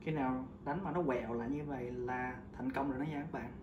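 A man's voice: mid-range voice, fairly even intonation, fast, distant mic, highs cut off. A man in his thirties from Vietnam, casually walking viewers through a homemade recipe in his kitchen, chatty with frequent hesitations. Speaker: home cooking vlogger. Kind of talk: casual how-to demonstration. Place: home kitchen, indoors. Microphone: camera mic on counter. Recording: roomy kitchen, voice off-mic, loud background noise.

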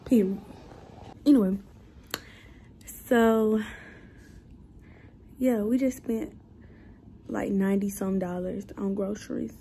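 A woman's voice: mid-range voice, steady tone, slow pace, long pauses, dark tone. A young woman vlogging casually from a phone held at arm's length, blunt and teasing in her talk. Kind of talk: casual vlog chat. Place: grocery store, then home. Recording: noisy phone recording.

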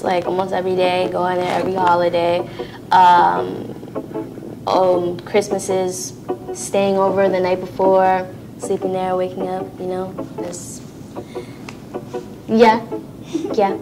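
A woman's voice: on the low side, flat delivery, unhurried, pauses often, music in the background, rough recording. A young woman answering hesitantly with many fillers.

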